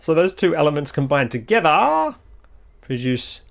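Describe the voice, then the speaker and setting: A man's voice: fairly high pitch, sing-song, normal speed, gravelly, slight background noise. A man giving a casual walkthrough as a sound designer, thinking aloud as he demonstrates.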